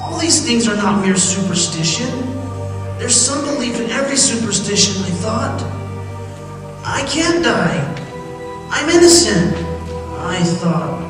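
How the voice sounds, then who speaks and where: A man's voice: high voice, sing-song, a little slow, pauses often, distant mic. An actor playing an innocent condemned man, recounting his night among the dead in theatrical, fervent old-time radio style. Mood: haunted, intense. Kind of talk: old-time radio drama acting, first-person narration. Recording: cavernous reverberant radio recording, music behind.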